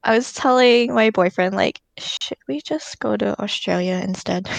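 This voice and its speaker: A woman's voice: mid-range voice, measured pace, creaky, dry recording. A woman in her early twenties in casual chat, giggly and telling stories with quoted asides.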